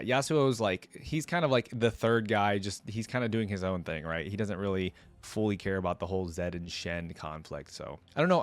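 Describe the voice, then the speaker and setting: A man's voice: medium pitch, no room sound. A man hosting a reaction video, talking casually to viewers in a rambling, conversational way as he mulls things over.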